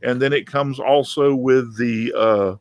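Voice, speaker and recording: A man's voice: mid-range voice, unhurried, no room sound, highs cut off. A man hosting a casual live group chat about his craft, talking in a folksy, rambling way and often repeating himself. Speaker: host of a woodworking talk show.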